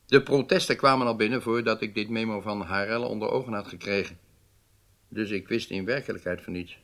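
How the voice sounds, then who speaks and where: A man's voice: fairly low pitch, steady tone, brisk, a bit bright. An actor playing a stern male head of government in a radio drama, speaking formally with weighty emphasis. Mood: indignant.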